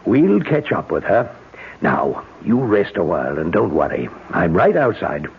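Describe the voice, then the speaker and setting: A man's voice: deep voice, sing-song, measured pace, creaky, husky, heavily acted. A man playing a protective, wry, reassuring older uncle in a 1970s radio drama, heard through a tinny broadcast. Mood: soothing.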